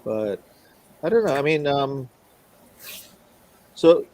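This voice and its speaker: A man's voice: medium pitch, very animated, slow, a little mellow. A man chatting casually as a podcast co-host, talking in a hesitant, rambling way. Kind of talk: casual chat.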